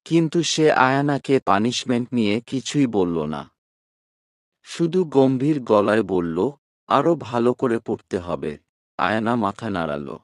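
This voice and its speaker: A man's voice: fairly low pitch, no room sound, studio quality. A man narrating a scripted romantic story expressively.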